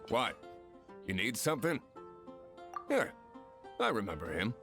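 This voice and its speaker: A man playing a gruff, blunt blacksmith in an anime video game, speaking in a curt way. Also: fairly low pitch, huge pitch swings, measured pace, fairly bright tone, full character voice. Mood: gruff, brusque. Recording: music behind.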